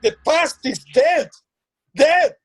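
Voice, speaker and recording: A man's voice: extremely high pitch, lively intonation, slow pace, heavily acted. An actor playing a proud, bitter, hard-drinking old father with a stern, commanding manner in a dramatic play reading. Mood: angry.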